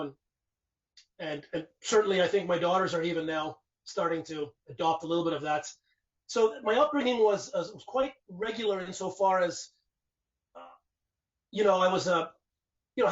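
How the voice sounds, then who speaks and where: A man in his forties, raised speaking Finnish in Canada, reflecting at home in a casual interview with rambling restarts. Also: sits high. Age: 40s. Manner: rambling and reflective, frequent restarts and "you know". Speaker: guest. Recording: distant, dull lo-fi mic.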